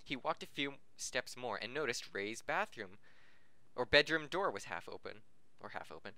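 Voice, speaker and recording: A man hosting a podcast reads a story aloud in a bright, forward voice with very little room sound. He is amateurish and giggly, and he trips over words and restarts phrases. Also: medium pitch, expressive, moderate pace, dry recording. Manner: stumbles over the text, giggly. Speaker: podcast host reading fan fiction aloud. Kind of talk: amateur story reading, often breaking up.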